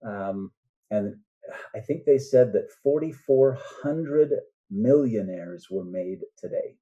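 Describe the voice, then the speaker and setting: An older man talks casually as an interview guest, in a very dark, soft-edged tone. He rambles a bit and checks in with his listener. The recording is dull and lo-fi. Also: mid-range voice, expressive, slow pace. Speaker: guest author.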